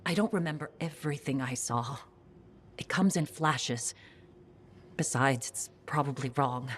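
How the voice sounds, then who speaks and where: Confused gentle woman, hesitant, halting, low voice, slow. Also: a little hiss or hum. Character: confused gentle woman unsure of her memories. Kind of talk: video game dialogue.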